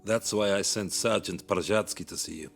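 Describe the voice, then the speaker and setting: Cold calculating soviet boss, super deep voice, dry and sarcastic. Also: male voice, normal speed, creaky, soft background music. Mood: sardonic. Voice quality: dry, sardonic, clipped. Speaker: Soviet commanding officer.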